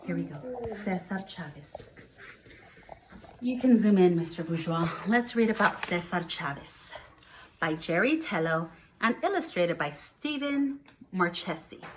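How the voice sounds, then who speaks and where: A woman's voice: lowish voice, lively intonation, a little slow, a little hiss or hum. A woman teaching first graders, reading aloud and explaining things in simple words with emphasis on key words. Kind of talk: read-aloud lesson for young children.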